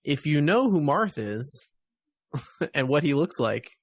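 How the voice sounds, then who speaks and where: A man's voice: fairly high pitch, very animated, slow, no room sound, clean studio sound. An American man hosting a podcast, chatting casually about games and media. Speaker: podcast host.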